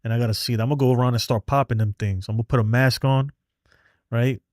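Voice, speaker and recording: A man's voice: medium pitch, steady tone, quick pace, no echo. A man chats bluntly on a livestream, reading viewers' messages aloud. His voice sits mid-range and sounds close and dry. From New York City. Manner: streetwise, blunt, reads chat aloud. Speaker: livestream host. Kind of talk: casual livestream chat. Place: home in Texas.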